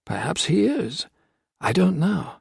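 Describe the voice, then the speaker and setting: A man reading a story voices an older priest who speaks quietly and with compassion. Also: fairly high pitch, big pitch swings, slow pace, gravelly, breathy edge, no echo, studio quality, pitched above the performer's own voice, heavily acted. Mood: gentle. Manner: quiet, soft, patient.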